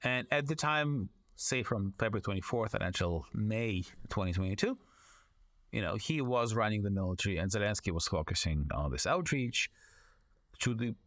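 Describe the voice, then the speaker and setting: A man, a foreign correspondent and author, giving long, measured answers as a podcast guest, often pausing on "you know" and restarting his sentences. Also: on the low side, expressive, fairly bright tone. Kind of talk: long thoughtful interview answers.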